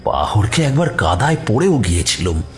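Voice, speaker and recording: A man's voice: lowish voice, sing-song, normal speed. An actor playing an aggrieved, evasive male believer in the occult, protesting and justifying himself emotively in an audio mystery. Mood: evasive, explaining. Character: aggrieved, evasive gentleman who believes in tantric magic. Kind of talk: dramatised Bengali mystery audio story. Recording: music behind the voice.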